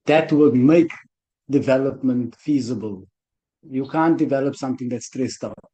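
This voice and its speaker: A man with a South African accent talks earnestly and casually at an online community conference. His voice is mellow and darkish, at a mid pitch. He is heard through a computer mic on a video call. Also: moderate pace, muffled recording. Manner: earnest, loose conversational speaking. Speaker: conference organizer.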